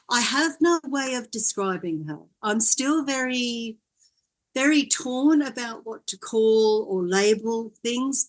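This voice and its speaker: An older woman from Wales, a guest in an interview, telling her story in a gentle, reflective way. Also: fairly high pitch. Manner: gentle, reflective, laughs often.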